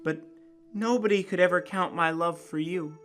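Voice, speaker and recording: A man's voice: high-pitched, normal speed, fairly bright tone, faint music behind, voice pushed up. A man voicing a tender, eager young wife in a dramatic story reading. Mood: pleading, earnest.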